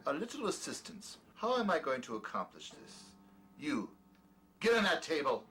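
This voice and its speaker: A man acting out a mad scientist in a horror radio play, cruel and condescending. His pitch leaps about as he rants and sneers, then drops to grandiose muttering. Music plays clearly behind him. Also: sing-song, moderate pace, bright, forceful tone, exaggerated voice. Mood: menacing. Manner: ranting, sneering, swinging between outbursts and mutters. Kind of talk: theatrical horror audio drama acting.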